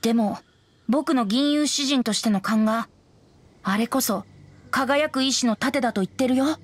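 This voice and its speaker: A woman playing a youthful male wandering bard in a game, breezy and gently teasing. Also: fairly high pitch, normal speed, a little hiss or hum, natural voice. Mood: earnest persuasion. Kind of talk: Japanese game character acting.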